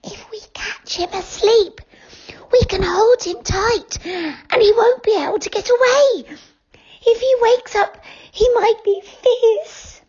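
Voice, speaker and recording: A woman voicing an excitable, frightened young girl in a storybook, breathless and whispery. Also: very high voice, slow pace, pitched above the performer's own voice. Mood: hushed. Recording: dull lo-fi recording.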